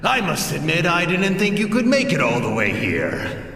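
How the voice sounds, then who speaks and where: An actor playing a theatrical, mocking villain boss with a gravelly drawl in a video game cinematic. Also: male voice, sits high, wildly swooping pitch, normal speed, bright, forceful tone, exaggerated voice. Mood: gloating menace. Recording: distant, echoing large hall, faint noise.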